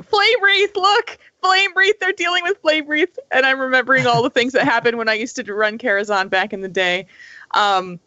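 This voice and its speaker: A woman's voice: medium pitch, pushed and bright, no echo, dull audio. A woman chatting casually as a panelist on a gaming podcast, retelling what she watched.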